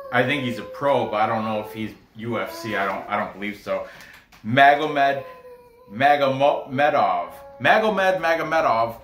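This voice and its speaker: A person from New York, born in Korea, giving casual, rambling fight betting commentary and often interrupting themselves. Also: mid-range voice. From born in Korea, grew up in New York. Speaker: host giving betting picks. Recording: echoey room with noise.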